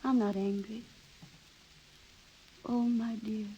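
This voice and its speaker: A high-pitched married woman from a vintage 1930s radio play. She is restless, romantic and defiant. Her delivery is theatrical and full of feeling. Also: little pitch movement, slow pace, warm tone, dull audio, a little hiss or hum. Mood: tender, wistful.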